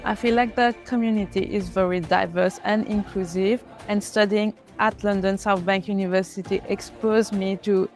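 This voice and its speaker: A woman's voice: mid-range voice, steady tone, moderate pace, hardly any pauses, darkish tone, faint music behind. A woman student giving a sincere promotional testimonial.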